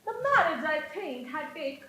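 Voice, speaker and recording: A woman's voice: sits high, sing-song, a little slow, pushed and bright, a little hiss or hum, exaggerated voice. A woman on stage playing an imperious, snobbish older aristocratic Victorian aunt, with pompous, declamatory delivery in a comedy. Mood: imperious, approving. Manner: pompous, declamatory, grandly condescending. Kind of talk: theatrical stage comedy acting. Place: indoor stage in a roomy hall. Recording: echoey amateur stage play recording.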